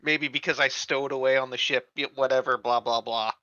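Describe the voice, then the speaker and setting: A man's voice: mid-range voice, steady tone, a little fast, bright, forceful tone, no room sound, dull audio. A man chatting casually as a player in a tabletop game, with a flippant, offhand manner.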